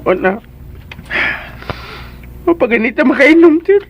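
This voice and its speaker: An actor playing an excitable, deferential male servant in a radio drama, chattering in a flustered, eager-to-please way. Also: extremely high pitch, a little slow, highs cut off, a little hiss or hum, exaggerated voice. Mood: reluctant, joking. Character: Bitoy, excitable deferential male servant to a wheelchair-using boss.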